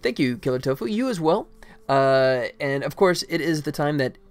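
A man's voice: a little high, expressive, normal speed. Middle-aged male, indie dev streamer. Loose, self-deprecating rambling, music underneath. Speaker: indie game developer livestreamer. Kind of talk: casual livestream chat. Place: indoor room at a computer. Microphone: desktop microphone.

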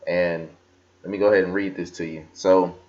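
A man's voice: deep voice, level tone, normal speed, darkish tone. A young man casually talking through a screen recording, with many fillers and restarts as he scrolls and reads aloud. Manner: casual, filler-heavy, talks while scrolling and reading aloud. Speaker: crypto vlogger. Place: indoors at a desk. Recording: slightly dull lo-fi computer audio.

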